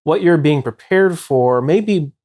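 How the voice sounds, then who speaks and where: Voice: medium pitch, expressive, takes it slowly, mellow. Explanatory host discussing books. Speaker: show host. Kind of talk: casual book discussion.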